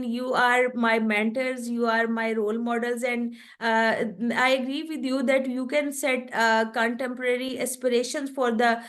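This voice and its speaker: A woman whose first language is Urdu answers questions after her online lecture, pausing often with fillers. Her voice sits a little high. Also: fairly bright tone. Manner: hesitant, many fillers. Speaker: guest lecturer. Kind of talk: online webinar Q&A.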